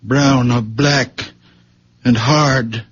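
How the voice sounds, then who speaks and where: An actor playing a very old man, a humble healer who speaks haltingly and plainly, in 1970s radio drama style. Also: mid-range voice, fairly even intonation, slightly rough. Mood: quiet, insistent. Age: older. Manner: halting, plain-spoken, repeating words. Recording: muffled, very noisy old radio broadcast.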